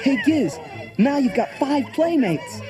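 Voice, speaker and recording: A man acts out an earnest young man with a high, boyish voice in a children's story tape. His delivery is expressive and kind, with music running behind it on an old cassette transfer. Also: takes it slowly, heavily acted. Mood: warm. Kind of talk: children's read-along audio drama acting.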